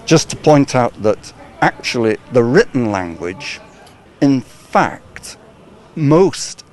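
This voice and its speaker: A man speaking as an academic guest, explaining in a measured way with frequent small hedges. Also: lowish voice, a little mellow, a little hiss or hum. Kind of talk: expert explanation.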